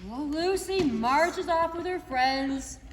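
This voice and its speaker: Woman storyteller, animated read-aloud, distant mic, faint noise behind. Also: sits high, slow. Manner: animated, expressive. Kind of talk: reader's theater narration. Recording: echoey hall, mic far off.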